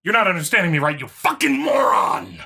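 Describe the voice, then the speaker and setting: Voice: mid-range voice, expressive, fairly quick, vocal fry, bright, forceful tone, breathy edge. A video commentator giving blunt, sarcastic, dismissive off-the-cuff commentary.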